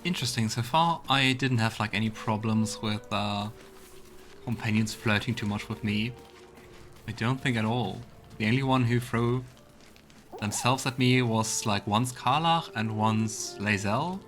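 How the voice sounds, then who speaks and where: A man with a fairly low voice talks casually through a video game he is playing, like a let's play streamer. Light music plays behind him. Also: unhurried, fairly bright tone. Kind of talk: casual gameplay commentary, reading dialogue options aloud.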